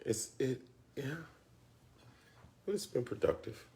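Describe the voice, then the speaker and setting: A man's voice: mid-range voice, sing-song, measured pace, creaky, noisy background. A man, teasing his wife, then teaching about relationships on a live stream. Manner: teasing, affectionate, then earnest. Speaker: co-host of a church live stream. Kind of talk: casual chat turning to teaching.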